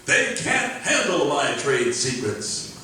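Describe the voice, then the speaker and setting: A bombastic, bragging tycoon uncle from an old-time radio comedy, played by a man, heard from across a very echoey room. The voice is high-set and rattles through lists with great self-importance. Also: sing-song, slow, pushed and bright, slight background noise, full character voice. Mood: indignant, bragging. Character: boastful old-time uncle, wealthy land trader and magnate. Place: library community room before an audience. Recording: roomy live hall, far from mic.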